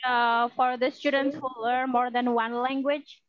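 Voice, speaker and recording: A woman's voice: high-pitched, flat delivery, dry recording. Indonesian-accented woman moderator, dull call audio. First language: Javanese. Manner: halting, with many fillers. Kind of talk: moderating a video lecture.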